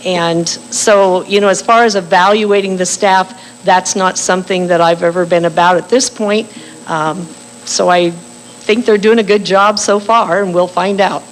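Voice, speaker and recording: An older female candidate giving a firm, deliberate campaign speech at a cafe forum, slowing down for emphasis. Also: fairly low pitch, fairly even intonation, a little hiss or hum, poor audio. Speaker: political candidate. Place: bakery cafe forum room.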